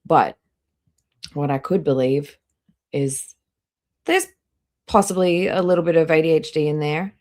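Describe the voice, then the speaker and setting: A woman's voice: low-pitched, a little slow, pauses often, a little mellow. A woman hosting a live stream with casual reaction commentary, speaking in a blunt, skeptical way and swearing freely.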